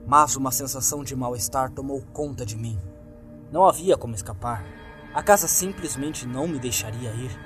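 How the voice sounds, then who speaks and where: A man's voice: medium pitch, moderate pace, a bit bright, soft background music. A man with a Brazilian accent reads a scripted horror story in a dramatic, suspenseful manner. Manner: suspenseful, acts out the lines.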